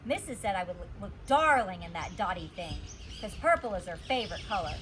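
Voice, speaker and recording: Animated woman reading a children's story. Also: fairly low pitch, fairly quick, a little hiss or hum. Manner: animated, acts out the dialogue. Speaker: teacher reading a storybook aloud. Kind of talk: expressive children's story read-aloud.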